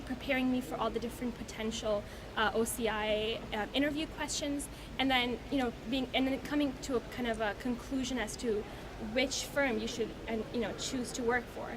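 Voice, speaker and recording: Friendly, fairly high-voiced woman from Pakistan. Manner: friendly, chatty, with many fillers. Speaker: law student speaker. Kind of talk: speech at a reception. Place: reception event. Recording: noisy event recording.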